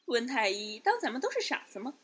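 A woman's voice: on the high side, big pitch swings, a little fast, fairly bright tone, breathy edge, exaggerated voice. A woman playing a spiteful young imperial concubine in a Chinese period audio drama, scornful and emphatic. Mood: scornful accusation.

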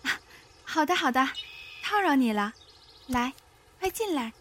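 A woman playing a gentle, soft-spoken young woman fleeing with a child, in a Chinese costume radio drama. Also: high voice, expressive, unhurried. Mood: polite. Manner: soft, soothing, polite. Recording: faint background noise, outdoor ambience.

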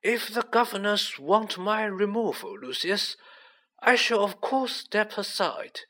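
Storybook headmaster , played by a man: old, wise, unflappable authority, measured clear words, lifted pitch. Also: lively intonation, bright, forceful tone, exaggerated voice. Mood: firm. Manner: very slow, clear, deliberate. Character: calm, commanding, wise old male headmaster.

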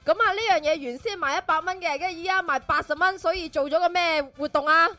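A woman with a very high voice and a Cantonese accent banters through a game. She sounds flustered and exclaims and repeats phrases. Faint music plays behind her. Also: fast talker, bright, forceful tone. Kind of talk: lively game-show banter.

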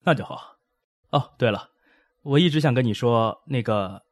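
A meddling older male boss who talks loudly and jovially, as a man acts him out. His voice sits in a middle range in this audio drama. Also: mid-range voice, animated, moderate pace, close to an everyday voice.

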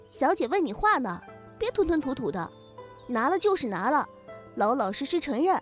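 An eager young lady's maid, voiced by a woman, speaks high with big swings in pitch over music. She is loyal, tattling and sharp-tongued, insisting and scolding like a servant in a period audio drama. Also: big pitch swings, moderate pace.

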